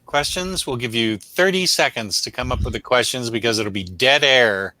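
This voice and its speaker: A man's voice: mid-range voice, moderate pace, bright, forceful tone. A man hosting a comic book review show in casual livestream chat, rambling along in a self-deprecating way.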